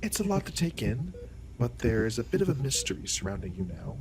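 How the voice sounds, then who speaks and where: A man's voice: mid-range voice, sing-song, fairly quick, gravelly. A man playing a courteous, authoritative alien doctor in an audio roleplay, speaking in a formal, explanatory way. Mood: intrigued.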